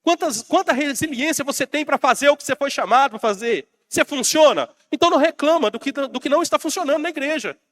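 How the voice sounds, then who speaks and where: A man's voice: very high voice, expressive, fast talker, hardly any pauses, a bit bright. A Brazilian man preaching a sermon as pastor, rousing and calling out to his congregation, while he has a bad cold. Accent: Brazilian Portuguese.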